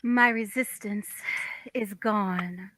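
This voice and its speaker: A woman playing a timid, yearning married woman in a play table read, breathless and halting. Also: medium pitch, fairly even intonation, a bit bright, slight background noise. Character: yearning, timid young married woman, easily swept away.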